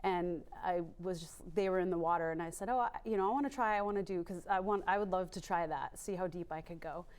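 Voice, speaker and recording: A woman's voice: lowish voice, fast talker, hardly any pauses, a little mellow. A woman from Maine, a free diver, telling her story in a casual interview, chatty and rambling with many fillers. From Maine, USA.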